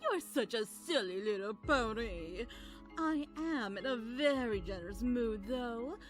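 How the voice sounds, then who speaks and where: A woman's voice: a little high, animated, unhurried. A woman playing a sly, teasing cartoon villainess with a mock-sweet, condescending, theatrical manner. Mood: smug, playful. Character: sly, teasing female villain toying with a pony. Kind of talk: animated cartoon villain acting. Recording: music behind the voice.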